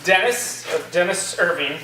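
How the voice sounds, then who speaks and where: A man's voice: high voice, lively intonation, normal speed. A man playing a polite, awkward young new college student in a staged reading, speaking hesitantly. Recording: very echoey, distant recording with faint noise.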